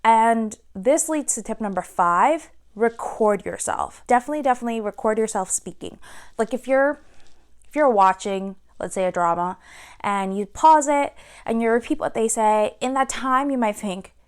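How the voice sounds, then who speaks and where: A young woman giving casual to-camera advice on learning a language, chatty and animated, playfully acting out her own reactions. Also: a little high, moderate pace, smooth.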